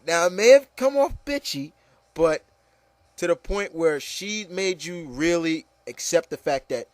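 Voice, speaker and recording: Male commentator, lighter pitch, dry recording. Casual and opinionated, repeats himself, talks straight to the listener. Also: lively intonation, unhurried, dry recording. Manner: rambling, repeats phrases. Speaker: video commentator.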